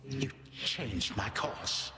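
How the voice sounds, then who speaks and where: A defeated old titan grandfather speaks with archaic gravity and weary pride. His mid-pitched voice rings through a long, echoing reverb over a noisy background, as in a dramatic game cutscene. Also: drawn-out words. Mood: resigned, solemn. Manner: solemn, archaic, formal phrasing. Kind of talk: dramatic video game voice acting.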